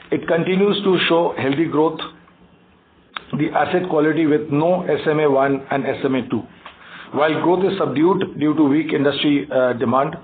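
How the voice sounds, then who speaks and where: A man with an Indian accent reads a prepared financial briefing as a bank executive, haltingly, stumbling over and repeating words. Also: fairly high pitch. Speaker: bank executive on an earnings call. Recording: tinny phone conference line, faint noise.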